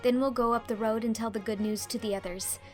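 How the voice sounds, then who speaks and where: A woman's voice: fairly high pitch, fairly even intonation, fast talker, a little mellow, natural voice. A woman acts out a dreamy teenage girl from a storybook, full of earnest rapture. The voice is raised and effusive, with music behind it. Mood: dazzled joy. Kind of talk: dramatised audiobook character acting.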